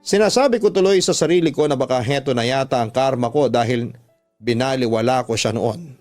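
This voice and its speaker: A man's voice: mid-range voice, brisk, a little mellow. A man narrating a first-person letter in an earnest, sentimental radio drama style. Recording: music under the voice.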